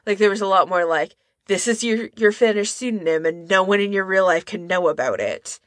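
A woman's voice: medium pitch, lively intonation, brisk. A woman podcast co-host in casual conversation, talking informally and thinking aloud as she goes. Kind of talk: casual chat about fan hobbies.

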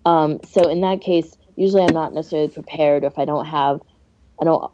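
A woman's voice: sits low, a little fast, dark tone, slight background noise. A woman co-hosting a podcast in a casual, informal chat, giving her opinions freely on a dull recording. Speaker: podcast host.

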